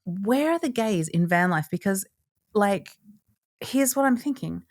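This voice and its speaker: A woman's voice: mid-range voice, lively intonation, a little slow, darkish tone. A woman, co-hosting a podcast in casual chat, bubbly and joking, often laughing. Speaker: podcast co-host.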